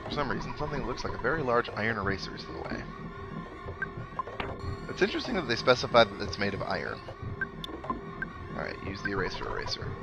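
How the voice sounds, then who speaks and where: A man's voice: on the low side, sing-song, fast talker, long pauses, low-quality recording. A man giving casual, dryly joking commentary as he plays a video game and reads its text aloud. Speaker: host of a video game playthrough. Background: video game music.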